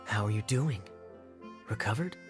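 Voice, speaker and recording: An actor playing a suave, teasing young man in a video game, wry and husky-voiced. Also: on the low side, expressive, moderate pace, close to an everyday voice. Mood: amused. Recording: background music under the voice.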